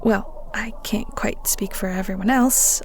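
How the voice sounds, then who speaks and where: A young woman acting in an audio roleplay as an awkward, fond best friend with a hidden crush, speaking haltingly and bashfully with giggly hesitations. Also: mid-range voice, a little hiss or hum, close to an everyday voice. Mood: bashful, proud.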